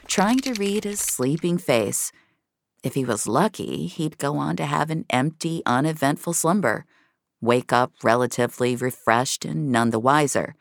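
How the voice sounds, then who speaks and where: A woman's voice: extremely low pitch, gravelly, no echo, studio quality. A woman narrating scripted science-fiction drama with a wry, noir storytelling manner.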